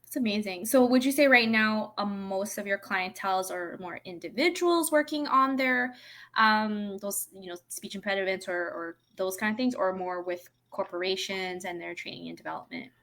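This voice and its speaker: A woman's voice: mid-range voice, moderate pace, fairly bright tone. A woman hosting a casual interview, asking curious questions with many fillers. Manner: chatty, curious, filler-heavy. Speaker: interview host.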